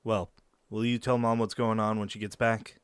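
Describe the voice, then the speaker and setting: A man's voice: lowish voice, little pitch movement, moderate pace, clean tone, dry recording, clean studio sound, natural voice. A man acting a playful, bold young werewolf in an audio drama. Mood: serious.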